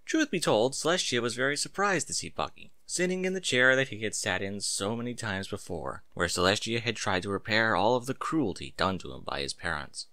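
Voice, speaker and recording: Male narrator, lowish voice, animated intonation, dramatic scripted storytelling, emphatic phrasing. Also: a little fast, few pauses, dry recording, clean studio sound. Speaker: narrator reading fan fiction aloud.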